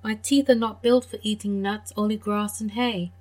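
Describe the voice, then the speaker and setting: A woman's voice: medium pitch, steady tone, normal speed, lightly acted. A woman voicing a meek, apologetic donkey. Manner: politely apologetic, gentle.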